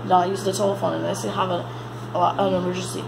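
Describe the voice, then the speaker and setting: A woman reads a mock emergency broadcast as an amateur announcer, halting and repeating words as she stumbles through the script. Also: lowish voice, little pitch movement, creaky. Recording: noisy amateur home recording.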